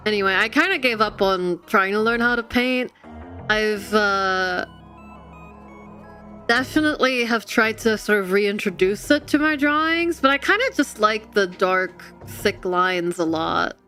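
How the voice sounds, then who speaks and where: A woman hosting a casual livestream, talking in an expressive and chatty way. Her voice sits at an ordinary female pitch, with music playing behind her. She comes across as giggly and informal, as if talking directly to a chat. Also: a little slow. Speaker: livestream host and artist. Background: background music.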